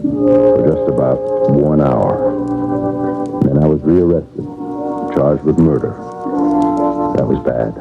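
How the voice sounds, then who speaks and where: An actor playing a shifty, uneasy crook on the run in a 1970s radio mystery drama. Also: male voice, extremely low pitch, slow, vocal fry, pauses often, heavily acted. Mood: rueful, confiding. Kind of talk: 1970s radio mystery acting, first-person narration and dialogue. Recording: dull vintage radio broadcast with music.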